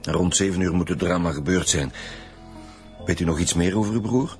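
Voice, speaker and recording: A man's voice: low-pitched, moderate pace, a little mellow. An actor playing a dry, probing male police detective in a radio drama, speaking matter-of-factly. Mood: formal, probing. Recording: faint music behind.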